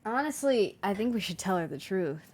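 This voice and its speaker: Lively, swearing woman co-host. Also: mid-range voice, fairly quick. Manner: lively, swears when startled. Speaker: let's play co-host. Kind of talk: casual co-op game commentary.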